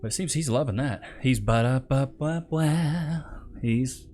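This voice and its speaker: A man's voice: medium pitch, a little slow, darkish tone, soft background music. A man hosting a reaction video, talking casually and emphatically in slang. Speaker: online video host reacting to clips. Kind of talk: casual streamer commentary.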